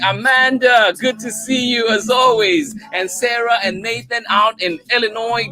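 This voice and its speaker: A man hosting a live storytime stream, greeting viewers by name and thanking them over and over. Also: sits high. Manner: warm effusive greetings, repeats thanks. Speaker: storytime host.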